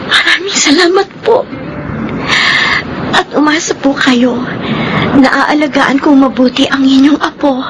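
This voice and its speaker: A soft-hearted young mother in a radio drama. Her high voice is deferential and polite, set against loud background ambience. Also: measured pace, long pauses. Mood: grateful, reassuring. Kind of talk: Filipino radio drama acting. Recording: loud ambient noise behind the voice.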